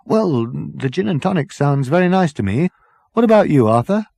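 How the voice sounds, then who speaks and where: Man acting a polite, curious traveller, lively pitch. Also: normal speed, vocal fry, no room sound, heavily acted. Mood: polite, eager. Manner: tentative, courteous questioning. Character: polite, curious male traveller, tactfully puzzled. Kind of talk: comic science fiction audiobook character.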